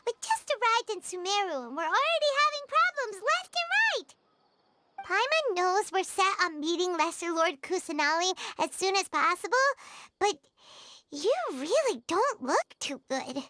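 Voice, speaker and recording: Squeaky childlike fairy sidekick. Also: female voice, big pitch swings, measured pace, sharp tone, a little hiss or hum, heavily acted. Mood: exasperated, fretting. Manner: refers to self in third person, exclamatory. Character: small childlike floating fairy companion, chatty and fretful. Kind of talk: animated video game voice acting. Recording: game music behind the voice.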